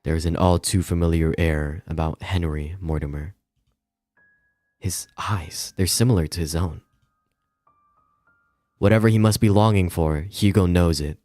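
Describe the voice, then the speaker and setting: A man reading a visual novel aloud as dramatic narration, with measured, somber phrasing. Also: sits low, fairly even intonation, dark tone, no echo, soft background music.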